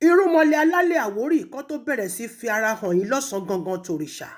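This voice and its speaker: A woman with a Nigerian Yoruba accent, speaking accusingly and emphatically in an impassioned public rebuke, with pointed pauses. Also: on the high side, sing-song, a little mellow. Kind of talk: impassioned public rebuke, switching between languages.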